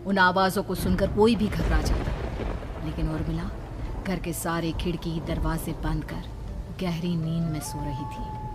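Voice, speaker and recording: A woman's voice: lowish voice, huge pitch swings, a little slow, over loud music. A woman giving dramatic scripted narration of a horror story.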